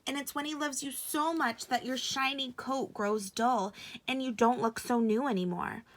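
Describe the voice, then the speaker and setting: A woman voicing a wise old male toy horse in a children's storybook, explaining gently and kindly. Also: high voice, fairly even intonation, moderate pace, voice pushed up, lightly acted.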